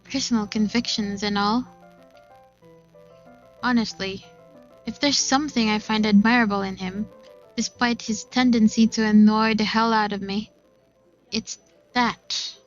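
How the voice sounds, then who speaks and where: A woman streamer reading visual novel narration aloud in an expressive storytelling manner. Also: medium pitch, unhurried, pauses often, muffled recording. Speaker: gaming streamer. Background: faint game music.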